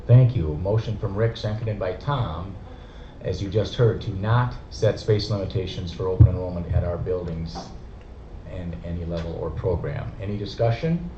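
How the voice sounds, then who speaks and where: A man's voice: deep voice, background noise. Guy running a board meeting, calls motions and votes, dull echoey sound. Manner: procedural, brisk. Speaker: board president. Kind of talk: chairing a meeting. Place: meeting room. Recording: dull echoey room recording.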